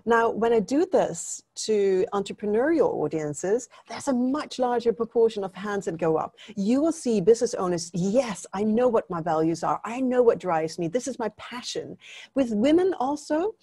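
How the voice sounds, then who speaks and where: A woman's voice: fairly high pitch, lively intonation, moderate pace, dark tone, breathy edge, no room sound. A middle-aged woman from Denmark, a native Danish speaker, earnestly explaining her views as an interview guest on a video call. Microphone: earphone headset.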